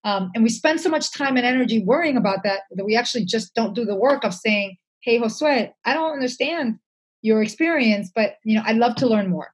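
A woman's voice: fairly high pitch, animated, fairly quick, slightly rough. A woman speaking as an interview guest, conversational, reflective and earnest, with emphasis on her points.

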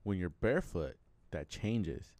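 A man's voice: low-pitched, very animated, measured pace, darkish tone, dry recording. A man co-hosting a podcast, casually explaining things in an easygoing conversational way. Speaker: podcast co-host.

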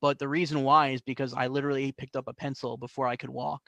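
A man's voice: mid-range voice, flat delivery, fast, creaky, fairly bright tone, dry recording. A young adult man from the New York area telling stories as a guest in a casual interview, with many fillers and restarts. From New York and New Jersey, US. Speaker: guest artist.

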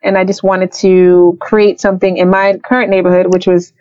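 A woman's voice: medium pitch, normal speed, dry recording. A young woman from Liberia, a festival founder, talking casually in an interview with lots of "like" and "you know". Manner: relaxed, chatty, uses "like" and "you know". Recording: slightly dull lo-fi sound with faint music.

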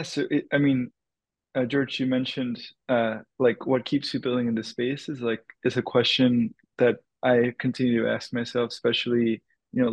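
Gravelly-voiced crypto founder, even-toned. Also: male voice, medium pitch, dry recording, poor audio. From Dominican Republic. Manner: thoughtful, many fillers and restarts. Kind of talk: casual interview answers.

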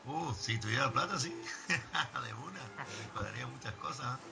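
A man's voice: mid-range voice, animated, normal speed, gravelly, pushed and bright. A man with an Argentine accent chatting casually as a podcast guest. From Misiones, Argentina. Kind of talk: casual chat.

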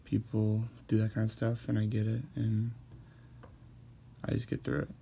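A man who stutters, talking hesitantly with many pauses and fillers as he hosts a personal monologue. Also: fairly low pitch, completely flat delivery, creaky, dark tone, slight background noise. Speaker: host.

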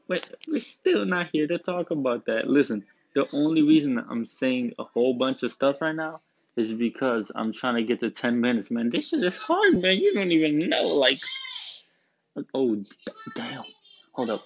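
A young man in his late teens from Romania, hosting a student podcast from the back room of a school in a rambling, joking, laid-back way. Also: mid-range voice. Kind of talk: casual rambling podcast monologue. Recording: dull, dry lo-fi recording.

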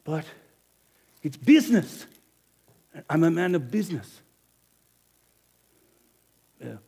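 A man playing a businesslike village innkeeper in an animated first-person monologue, emphatic and speaking straight to the audience. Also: a little high, takes it slowly, husky, exaggerated voice. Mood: guilty. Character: businesslike male village innkeeper, proud then guilty.

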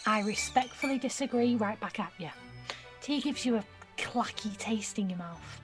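An actress playing a blunt, cheeky, streetwise nineteen-year-old woman who talks in clipped slang with a slightly husky voice in an audio drama. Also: medium pitch, normal speed, music in the background, lightly acted. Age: young adult. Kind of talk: naturalistic audio drama dialogue.

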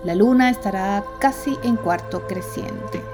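A woman hosting a radio astronomy program, reading scripted segments in a clear, measured way and interviewing a guest. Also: medium pitch. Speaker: radio show host. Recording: music bed behind voice.

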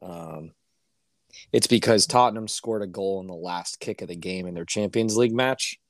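A man co-hosting a casual sports podcast, hoarse and ranting with exasperation, his voice climbing when worked up. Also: sits low, moderate pace, dry recording, studio quality. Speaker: podcast co-host.

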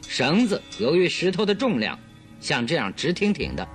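A man's voice: a little high, wildly swooping pitch, moderate pace, soft background music. An actor playing a confident male detective in a radio drama, explaining deductions in a theatrical, self-assured way. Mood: deliberate.

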